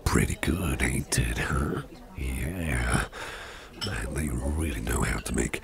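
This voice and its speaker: A man playing a big, muscular, jovial boss with a hearty appetite in an ASMR dinner-date roleplay, speaking in a folksy, soothing, reassuring way. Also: exaggerated voice. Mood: indulgent, affectionate. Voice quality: very deep, gravelly. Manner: slow, soothing, chuckling, folksy, repeats phrases reassuringly. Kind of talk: ASMR roleplay, personal attention. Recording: restaurant crowd murmur behind the voice.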